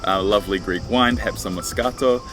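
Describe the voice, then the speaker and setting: A man's voice: lowish voice, a little fast, music in the background. A man chatting casually as a travel vlogger, effusive and playfully florid in his phrasing. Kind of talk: casual travel vlog chat.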